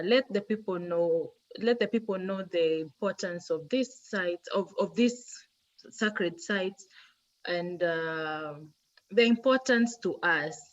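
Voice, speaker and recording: A woman's voice: lowish voice, lo-fi sound. A woman speaking hesitantly on an online panel, repeating words as she goes. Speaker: webinar panelist.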